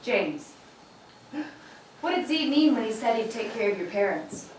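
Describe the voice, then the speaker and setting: A woman's voice: a little high, sing-song, a little slow, darkish tone. A woman playing an intense, bitter woman who presses and swears insistently in a dramatic scene. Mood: probing. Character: intense, confrontational young woman, bitter and demanding. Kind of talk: dramatic acting. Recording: very echoey room, far mic, faint noise.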